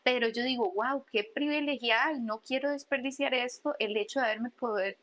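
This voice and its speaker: A Costa Rican woman in her early thirties hosts a casual podcast conversation. Her voice is bright and a little high. She tells things in an animated, everyday colloquial style. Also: a little fast. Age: early 30s. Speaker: podcast host.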